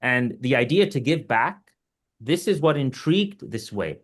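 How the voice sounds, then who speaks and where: A man's voice: medium pitch, sing-song, measured pace. An earnest man from Egypt answers questions as an invited surgical expert. He explains step by step and stresses his points, often with practical examples. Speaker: surgeon and invited webinar speaker.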